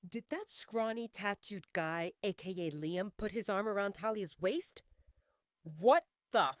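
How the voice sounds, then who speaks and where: A woman's voice: medium pitch, expressive, measured pace, no room sound, clean studio sound, natural voice. A woman voicing a jealous, irritable male werewolf alpha in an audio romance drama. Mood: jealous outrage.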